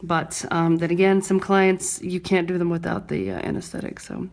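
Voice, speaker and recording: An American woman instructor explaining her technique during a live demonstration, speaking casually with clear, deliberate enunciation. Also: fairly low pitch, little pitch movement, a little mellow. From Brooklyn, New York, United States. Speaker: microblading instructor and artist.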